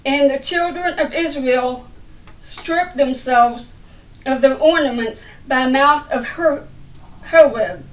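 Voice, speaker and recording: A middle-aged woman reading the Bible aloud as a sermon, in deliberate broken phrases with stumbles over the words. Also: high voice. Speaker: lay preacher reading scripture. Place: indoor room by a window. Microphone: camera a little way off. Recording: roomy, distant camera microphone.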